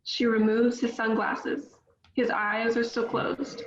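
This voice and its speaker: A woman's voice: a little high, little pitch movement, slow, a little hiss or hum. Woman reading stage directions, echoey. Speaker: script reader. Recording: echoey room, dull lo-fi sound.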